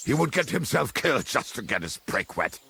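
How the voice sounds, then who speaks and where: A man playing a roguish, foul-mouthed medieval mercenary in a video game, with a blunt, wry way of telling things and a gravelly, husky voice. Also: medium pitch, big pitch swings, fairly quick, pushed and bright, a little hiss or hum, exaggerated voice. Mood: jovial, candid. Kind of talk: dramatic video game dialogue.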